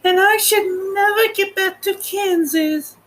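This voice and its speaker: A man voicing a wistful young storybook girl in a very high falsetto. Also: a little slow, fairly bright tone. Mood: dismayed. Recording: noticeable background noise.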